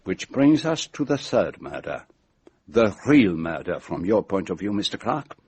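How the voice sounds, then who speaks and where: An actor playing a shrewd, methodical detective in a radio mystery drama, speaking formally and deliberately as he sets out an accusation. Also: sits low, very animated, darkish tone, a little hiss or hum. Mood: methodical, grave. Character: shrewd, methodical male detective laying out his case.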